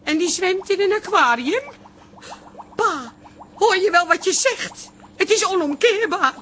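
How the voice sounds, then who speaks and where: An actress playing an exasperated, scheming adult daughter with dry wit in a Dutch comedy radio play. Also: female voice, very high voice, animated, measured pace, fairly bright tone, a little hiss or hum, full character voice. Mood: mock alarm.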